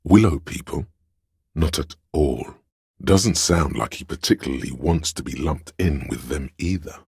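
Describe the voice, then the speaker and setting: An actor playing the grandiose, artsy inner voice of a detective's imagination in a video game, narrating in a dramatic, florid, deliberate way. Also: male voice, darkish tone, no room sound, clean studio sound, heavily acted. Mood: pompous, musing. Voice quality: gravelly, husky bass. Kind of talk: theatrical, literary game narration.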